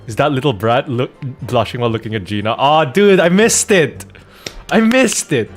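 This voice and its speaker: A man's voice: mid-range voice, animated, moderate pace, faint music behind. A man giving casual gameplay commentary as a streamer, slangy and profane in a bantering way. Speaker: game streamer and voice actor.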